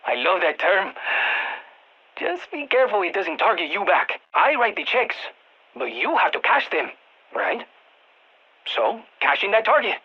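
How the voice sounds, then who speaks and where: A man playing an excitable, quirky scientist advising a strike team over the radio in a video game, with a Spanish accent and exclamatory delivery. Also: high-pitched, measured pace, long pauses, slightly rough, dull audio, heavily acted. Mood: upbeat, focused. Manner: animated, exclamatory, peppers speech with Spanish interjections. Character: excitable, quirky male exogeologist supporting a military strike team. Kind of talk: video game voice acting, mission radio callouts.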